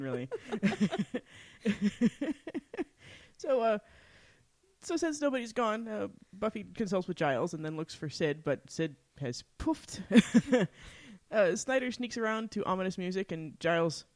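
A woman's voice: medium pitch, animated, unhurried, darkish tone, no room sound. A woman co-hosting a podcast, giving a casual, playful episode recap with a giggly manner. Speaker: podcast co-host.

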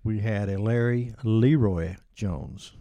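Folksy church podcast guy, dark mellow voice, kinda halting, dry room. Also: lowish voice, no room sound. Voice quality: says he sounds like a hick. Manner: folksy, self-deprecating, halting with restarts. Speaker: podcast co-host. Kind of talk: casual chat.

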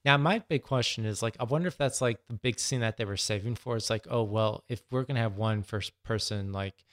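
A man's voice: on the low side, brisk, fairly bright tone. A man co-hosting a casual film discussion, dropping "like" often into his sentences. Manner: peppers speech with "like". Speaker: podcast co-host.

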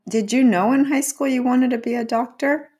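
A woman's voice: on the high side, moderate pace, a little mellow, breathy edge. A woman hosting an interview podcast, with an upbeat, encouraging way of talking. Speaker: podcast host.

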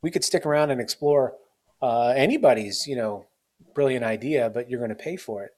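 A man's voice: medium pitch, a little fast, darkish tone. A man in his forties hosting a podcast, chatting in a rambling, self-mocking way with lots of fillers. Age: 40s. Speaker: podcast host. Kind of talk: casual interview chat.

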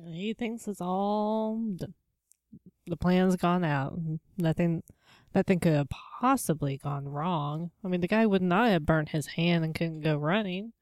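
A woman's voice: lowish voice, measured pace, a little mellow, no room sound, studio quality. A woman co-hosting a podcast, chatting casually with wry short asides. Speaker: podcast co-host. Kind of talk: casual chat.

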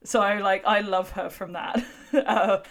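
A woman's voice: mid-range voice, steady tone, a little slow. Informal, opinionated woman chatting about film. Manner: informal, opinionated, sweary, frequent "like". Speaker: film discussion podcast host. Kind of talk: casual chat, film discussion.

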